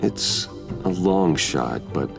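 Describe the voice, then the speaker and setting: A man voice-acting a grim, stoic, injured masked vigilante in a video game, speaking tersely in a gravelly voice. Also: a bass voice, lively intonation, takes it slowly, over music, full character voice. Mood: stoic, determined.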